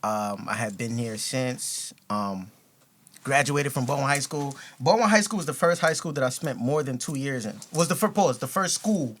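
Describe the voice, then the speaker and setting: A young man from New York, a rapper and podcast guest, telling his life story in a relaxed way. He laughs often and swears freely. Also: mid-range voice, moderate pace. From New York, with Ghanaian parents. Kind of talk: casual storytelling interview. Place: podcast studio.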